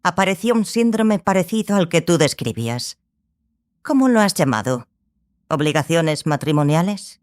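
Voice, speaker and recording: A woman playing a stern, obsessive scholarly sorceress in a dramatized audiobook, speaking gravely and deliberately, with pauses. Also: lowish voice, sing-song, darkish tone. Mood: reflective.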